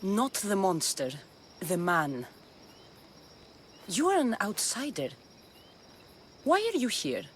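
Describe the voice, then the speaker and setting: A woman's voice: medium pitch, big pitch swings, slow pace, long pauses. An actress playing a wary, blunt ancient Greek mercenary woman in a video game cutscene. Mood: wary, challenging. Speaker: lead game character. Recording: noisy outdoor ambience, chirping insects.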